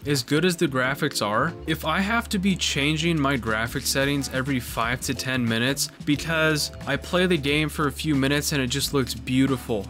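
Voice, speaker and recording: A young man with a cold, his voice a bit raspy and stuffed up, talking in a loose, excitable way and throwing in casual swears. His pitch sits in an ordinary male middle range. Faint music plays quietly under his voice. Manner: excitable, swears casually, says "dude" often. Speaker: gaming video host. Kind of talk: casual gameplay commentary.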